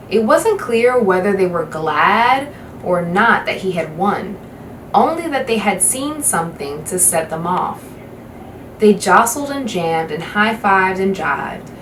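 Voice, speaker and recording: Expressive woman reading aloud, roomy sound. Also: mid-range voice. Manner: measured, dramatic phrasing. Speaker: guest storybook reader. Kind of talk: expressive children's novel read-aloud. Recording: roomy, distant microphone, faint background noise.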